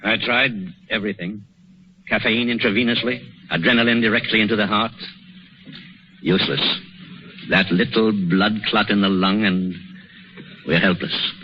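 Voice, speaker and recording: An actor playing a grave, weary older doctor, earnest and measured, in 1930s radio drama style. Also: male voice, on the low side, steady tone, lightly acted. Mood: anguished, resigned. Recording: muffled, noisy 1930s radio recording.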